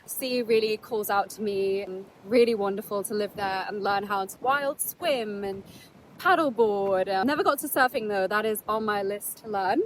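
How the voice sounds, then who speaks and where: A woman's voice: mid-range voice, normal speed, poor audio. A young woman with a British accent, talking casually to the camera on a windy beach in a rambling, chatty way. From Netherlands. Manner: chatty, rambling, with fillers and restarts. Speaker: travel vlogger.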